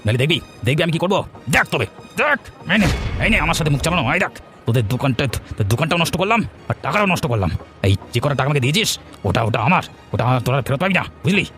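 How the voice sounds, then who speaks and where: A man's voice: fairly high pitch, sing-song, very fast, a bit bright, faint music behind. A man playing a rude, greedy, scornful village handyman uncle in a cartoon, brusque and repeating words impatiently. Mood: threatening. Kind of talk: Bengali cartoon voice acting.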